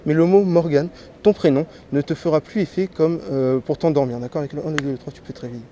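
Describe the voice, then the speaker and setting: A man from Martinique with a slight head cold, working as a street hypnotist. He gives a volunteer slow, repeated commands that drop soft and low. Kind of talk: stage hypnosis patter with a volunteer. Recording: noisy crowd around the mic. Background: chattering crowd.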